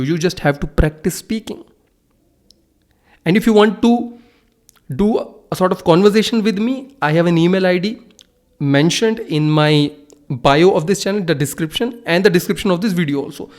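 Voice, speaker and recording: A man's voice: on the high side, a little mellow. A young Hindi-speaking man with an Indian accent, talking earnestly into his phone camera, repeating himself and restarting sentences. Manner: earnest, repetitive, restarts often. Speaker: English-speaking channel host. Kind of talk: casual to-camera talk.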